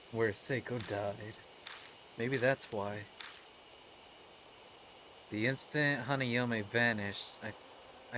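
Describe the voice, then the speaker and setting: A man's voice: mid-range voice, slight background noise, low-quality recording. A man reading game text aloud as a let's player, with playful, sweary casual asides. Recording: loud music behind the voice. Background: loud game music.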